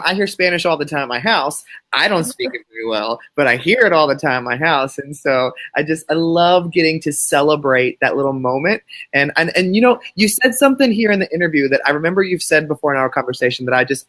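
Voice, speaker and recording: A man's voice: on the high side, a bit bright. A man hosting an interview show, talking with enthusiasm and often repeating his first words as he starts a sentence. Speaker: show host. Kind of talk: casual interview talk.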